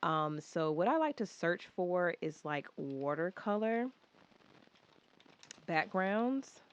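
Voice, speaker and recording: A woman who runs a small craft business, talking through a design tutorial in a relaxed, chatty way with lots of filler words. She sounds congested from a cold. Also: fairly low pitch, slow. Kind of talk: casual screen-recorded how-to walkthrough.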